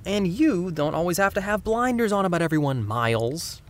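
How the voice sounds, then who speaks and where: A man's voice: high-pitched, animated, normal speed. A man playing a wry, cynical teenage boy next door in a naturalistic audio drama, sardonic and prone to exaggerate. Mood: exasperated.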